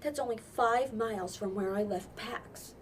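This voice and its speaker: A woman's voice: fairly high pitch, a little slow, recorded from a distance, slight background noise, voice pushed up, natural voice. A woman reading a storybook and voicing an earnest, stubborn boy, speaking with insistent emphasis. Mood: urgent.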